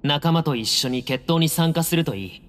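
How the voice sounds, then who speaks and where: A man's voice: fairly high pitch, expressive, normal speed, a bit bright, slight background noise. An actor playing a guarded, self-assured young male temple heir in a Japanese anime game, speaking in a cool, firm, composed manner. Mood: solemn. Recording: reverberant hall, music underneath.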